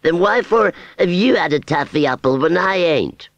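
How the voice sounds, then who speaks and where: A man's voice: fairly high pitch, lively intonation, fairly bright tone, dry recording, highs cut off, voice pushed up. A man voicing a simple, lovestruck scarecrow in a storybook, plaintive and grumbling, with a West Country English accent. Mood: complaining. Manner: rustic, plaintive, slow-witted.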